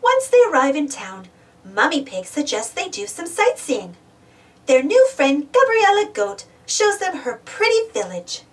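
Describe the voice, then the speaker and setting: A woman reading a children's storybook aloud in an animated, expressive way. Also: sits high, unhurried, recorded from a distance. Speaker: storybook reader, channel host. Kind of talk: children's read-aloud narration.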